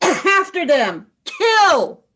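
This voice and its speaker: Woman acting a slow, ruthless alien warrior leader. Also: extremely high pitch, sing-song, a bit bright, heavily acted. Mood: furious. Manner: imperious, clipped commands. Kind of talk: radio play acting.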